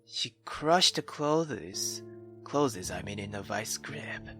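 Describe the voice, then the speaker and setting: Expressive woman narrating, slow and dramatic. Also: extremely low pitch, over music. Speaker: let's player. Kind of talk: reading a visual novel aloud with reactions.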